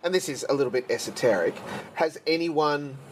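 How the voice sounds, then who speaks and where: A man's voice: fairly high pitch, expressive, fairly quick, background noise. A man interviewing a radio host in a casual, teasing, joking manner.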